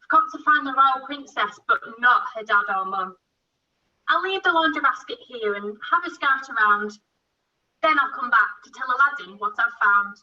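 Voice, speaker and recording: A woman's voice: high voice, lively intonation, moderate pace, bright, forceful tone, exaggerated voice. A woman playing a chatty, good-natured young laundry lad who narrates a rhyming pantomime, on a lo-fi recording. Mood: matter-of-fact. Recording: dull lo-fi sound.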